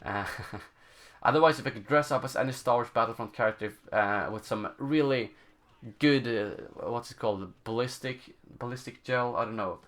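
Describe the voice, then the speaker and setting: A man's voice: medium pitch, a bit bright. A young man from Sweden with a Swedish accent, answering viewer questions on a gaming live stream and often searching for words. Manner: halting, searching for words, many fillers. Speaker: gaming channel host. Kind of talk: live stream Q&A, casual chat.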